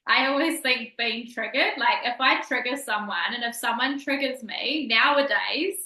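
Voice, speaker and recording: A woman's voice: on the high side, normal speed, bright, forceful tone. A woman podcast host and coach, talking earnestly and emphatically about spiritual growth. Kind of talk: casual interview chat. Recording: echoey room.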